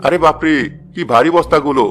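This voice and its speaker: Sly village brother, male actor: flattering petty thief, conspiratorial, a touch high, cartoon delivery. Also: fast talker, faint music behind, lightly acted. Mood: scheming. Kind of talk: Bengali fairy-tale cartoon dub.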